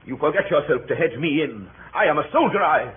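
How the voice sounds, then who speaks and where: Casting: male actor as proud, touchy Roman soldier, higher-pitched, declamatory Shakespearean delivery, thin vintage radio sound. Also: expressive, fairly quick, close to an everyday voice. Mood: indignant, threatening. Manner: declamatory, indignant. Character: proud, hot-tempered Roman soldier, indignant older comrade. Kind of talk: classical Shakespearean radio acting. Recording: tinny, noisy old radio recording.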